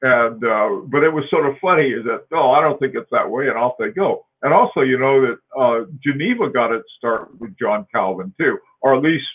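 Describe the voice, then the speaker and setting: A man's voice: medium pitch, lively intonation, normal speed, few pauses. A man in his forties hosting a casual live-stream chat, rambling with fillers and frequent laughs. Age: 40s. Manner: rambling, laughing often, with many fillers and restarts. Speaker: host. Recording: tinny phone-like line.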